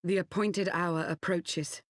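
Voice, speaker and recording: A woman's voice: fairly low pitch, fairly even intonation, slow, no room sound, studio quality. A woman playing a composed, loyal officer in a fantasy game, speaking formally and with reserve. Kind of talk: scripted fantasy game acting.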